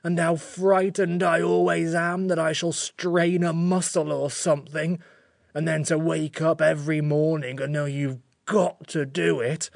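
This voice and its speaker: A woman voicing a grumpy, weary, self-pitying furry magical creature in a storybook, with slow, complaining delivery. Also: deep voice, lively intonation, vocal fry, breathy edge, no room sound, clean studio sound, heavily acted. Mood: tearful, pleading.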